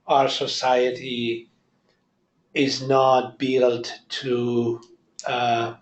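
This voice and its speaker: A man from Italy, a native Italian speaker, who speaks English with a strong Italian accent and talks in a halting way, searching for his words in a discussion. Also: medium pitch. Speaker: discussion participant. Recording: echoey room, dull lo-fi sound.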